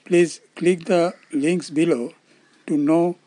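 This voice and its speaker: An older man, around his seventies, with an Indian accent, giving scripted explanatory narration in formal, measured phrasing. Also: a little high, steady tone, warm tone, no room sound. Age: older, around 70s. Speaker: presenter of loudspeaker-making videos.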